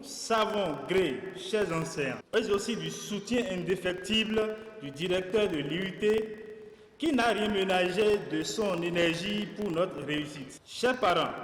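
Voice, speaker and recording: High-voiced young man, slow speech. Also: animated. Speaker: graduate speaker. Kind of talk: formal thank-you speech. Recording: echoey hall.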